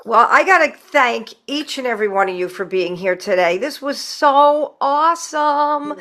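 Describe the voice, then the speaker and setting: A woman's voice: high-pitched, expressive, normal speed, pushed and bright. A woman hosting a podcast in casual chat, speaking in an effusive, grateful way. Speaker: podcast host. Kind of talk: casual chat, wrapping up a group podcast interview.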